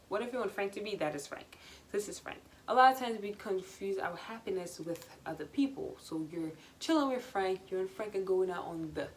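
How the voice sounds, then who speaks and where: A young adult woman talking casually and quickly to camera at home, chatty, laughing often, with lots of ums and repeated words. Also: medium pitch, slight background noise. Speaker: vlogger.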